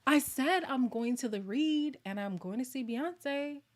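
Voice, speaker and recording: A woman from Canada hosting a casual live chat with viewers, excitable and laughing often, her voice getting a little hoarse. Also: sits high, a little slow.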